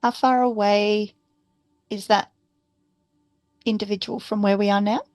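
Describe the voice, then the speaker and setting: A woman's voice: medium pitch, fairly even intonation, unhurried, a little mellow, dry recording, faint music behind. A woman chatting casually as a player in a tabletop RPG. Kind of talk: casual game-table chat.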